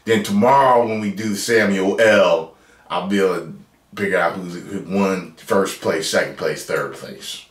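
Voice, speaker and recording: An American man in his thirties giving casual, playful reaction commentary as a video host, loud and repeating his phrases. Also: deep voice, measured pace. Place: small room at home, indoors. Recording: roomy, echoey home recording.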